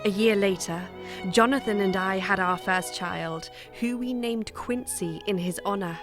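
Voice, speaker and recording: A woman's voice: medium pitch, natural voice. A woman playing a brave, devoted young wife, narrating a gothic audio drama in a formal, earnest manner. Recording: music behind the voice.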